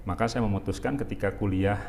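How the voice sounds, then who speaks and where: A man in his forties who grew up in Jakarta talks through a casual interview, pausing often and using plenty of fillers. His voice sits somewhat low. A faint hiss runs beneath the recording. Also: steady tone, gravelly, a little mellow. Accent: Jakarta Indonesian. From Jakarta, Indonesia. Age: 40s. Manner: unhurried, halting, many fillers. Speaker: university rector, guest.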